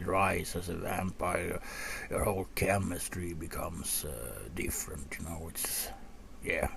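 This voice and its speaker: A man playing a gruff, seasoned vampire hunter in a soft-spoken ASMR roleplay, half-whispering and muttering slowly to a rookie with casual asides. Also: low-pitched, full character voice. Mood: musing, matter-of-fact. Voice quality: gravelly, breathy half-whisper. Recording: clearly audible background noise.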